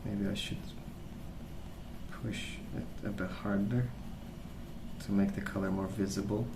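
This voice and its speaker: A soft-spoken man hosts ASMR, rambling in a casual, hesitant way. His voice is fairly low with a lot of creak and very little melodic movement. The recording sounds roomy, with noticeable noise behind him. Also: fairly even intonation, measured pace. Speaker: ASMR video host.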